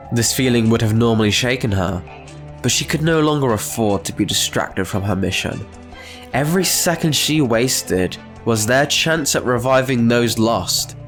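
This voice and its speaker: Male narrator, medium register, scripted dramatic storytelling, soft music bed. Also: medium pitch, animated, measured pace.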